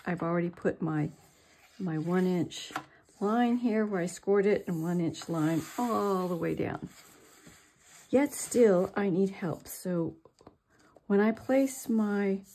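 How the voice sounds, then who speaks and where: A woman's voice: on the low side, mellow, slight background noise. An older woman giving a chatty, step-by-step craft demonstration at her work table. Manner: slow, chatty, self-deprecating. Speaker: craft tutorial host.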